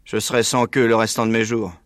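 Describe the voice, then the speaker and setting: A man's voice: on the low side, little pitch movement, fairly quick, a bit bright, no echo, studio quality, close to an everyday voice. A man voicing a wounded but commanding father fox in a storybook, with an emphatic delivery. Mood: gloomy. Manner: emphatic, exclamatory.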